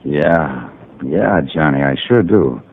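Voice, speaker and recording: An actor playing a plain-spoken male detective's friend in a 1950s radio drama, gruff and steady. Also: rumbling low, takes it slowly, slight background noise, full character voice. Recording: tinny lo-fi old radio recording.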